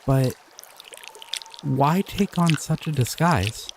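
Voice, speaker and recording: A man voicing a gentle, curious male slime creature in an audio roleplay; he is soft-spoken, easily delighted and chuckles often. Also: on the high side, slow pace, background noise.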